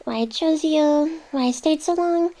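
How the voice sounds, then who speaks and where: A very high, unhurried female AI acted by a woman speaks in intimate ASMR roleplay. She is teasing and guarded on the surface. Underneath she is tender and smitten, and her confessions come wrapped in denial. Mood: guarded, earnest. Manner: tsundere, teasing, flustered denials over soft confessions.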